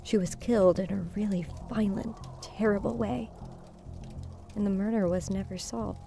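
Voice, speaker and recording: An actress in an audio drama playing a wary, inquisitive grieving daughter who speaks plainly and hesitantly. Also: female voice, medium pitch, steady tone, moderate pace, vocal fry, dark tone, soft background music, close to an everyday voice. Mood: uneasy. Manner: hesitant, plain-spoken, questioning.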